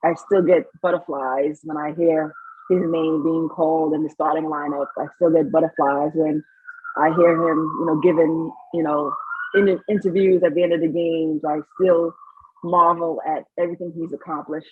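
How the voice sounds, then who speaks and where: A woman's voice: deep voice, moderate pace, phone-quality sound, noisy background. A middle-aged woman from Saint Lucia with a New York accent and a hint of Caribbean, a basketball coach chatting in an interview, repeating words as she starts her sentences. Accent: New York with a light Caribbean lilt. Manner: repeats words when starting, laughs easily. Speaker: basketball coach and player's mother. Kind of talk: casual interview talk.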